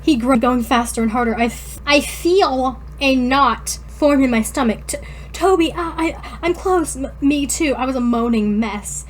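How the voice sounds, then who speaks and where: A giggly, informal woman co-hosting a podcast, reading fan fiction aloud haltingly and breaking off to comment. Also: sits high, a little hiss or hum. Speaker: podcast co-host.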